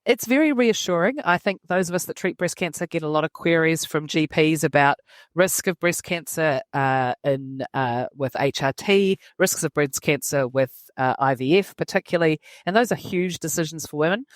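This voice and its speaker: A middle-aged woman co-hosting a medical podcast, upbeat and quick to laugh. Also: sits low, dry recording, clean studio sound. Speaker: oncologist co-host. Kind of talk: casual chat.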